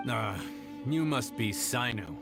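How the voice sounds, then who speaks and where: A man's voice: medium pitch, animated, slow pace, music in the background. An actor playing a smug mercenary thug in a video game, sneering and mock-polite as he makes threats. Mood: smug, threatening. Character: smug taunting male mercenary thug, paid to intimidate.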